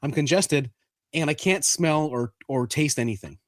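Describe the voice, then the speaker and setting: A man co-hosting a card podcast, congested and stuffy, talking in a halting way with many restarts. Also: a little high, no room sound, studio quality. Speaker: podcast co-host. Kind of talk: casual chat.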